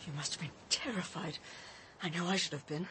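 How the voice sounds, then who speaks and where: An actress playing a gentle, sympathetic, horrified woman with a soft, hesitant delivery in a radio drama. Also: fairly low pitch, a little fast, a little hiss or hum, natural voice. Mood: horrified sympathy.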